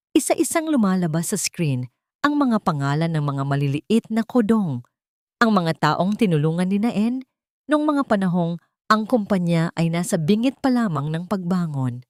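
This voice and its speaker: A woman's voice: lowish voice, darkish tone, dry recording, studio quality. A woman with a Filipino accent reading a scripted dramatic story as its narrator.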